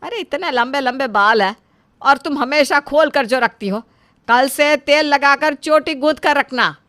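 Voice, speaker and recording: A woman acting a bright, nagging mother-in-law. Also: sits high, a little slow. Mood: exasperated. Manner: scolding, exclamatory.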